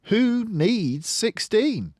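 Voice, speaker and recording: A grumpy little storybook boy, as acted out by a man reading aloud, full of aggrieved emphasis. The pitch swings widely and the words come slowly, in a dry, close recording. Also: very animated, no echo, exaggerated voice. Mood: indignant. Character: grumpy, put-upon little boy complaining about his day. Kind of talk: storybook character voice.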